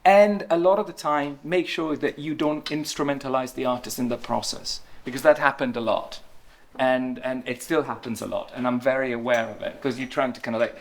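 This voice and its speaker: A man speaking from a symposium stage at an ordinary middle pitch. He talks in a reflective, stop-start way, doubling back on words as he works through an idea. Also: steady tone, brisk. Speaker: panel speaker. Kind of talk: symposium panel discussion. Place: event hall with audience.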